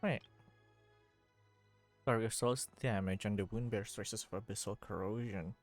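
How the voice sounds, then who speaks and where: A man's voice: on the low side, fairly even intonation, normal speed, faint music behind. A man streaming a game, chatting casually and reading dialogue aloud as he comments.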